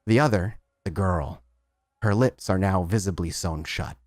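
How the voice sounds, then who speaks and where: A man's voice: low-pitched, measured pace, a little mellow, no room sound, clean studio sound. A man hosting and narrating a tabletop roleplaying game as storyteller, with a dramatic, descriptive manner.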